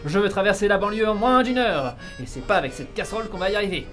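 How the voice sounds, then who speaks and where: A man's voice: high voice, lively intonation, fast, fairly bright tone, soft background music. A man playing an overconfident, exalted young engineer in a comic audio drama, declaiming in a pompous, theatrical way. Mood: impassioned, grandiose.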